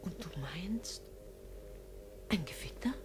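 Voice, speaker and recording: An actress playing a nervous, agitated mother in an old radio drama, often speaking in a hushed voice. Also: fairly low pitch, expressive, slow. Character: anxious middle-aged mother visiting her daughter. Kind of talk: 1950s radio drama acting. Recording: noisy old 1950s radio recording.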